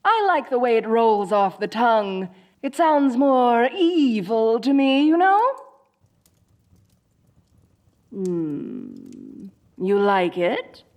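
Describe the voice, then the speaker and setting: A woman playing a dorky, theatrical evil sorceress queen in a comedic roleplay audio drama, grandiose and gleefully wicked yet soft-hearted and awkwardly chatty. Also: fairly high pitch, huge pitch swings, takes it slowly, full character voice. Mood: breezy.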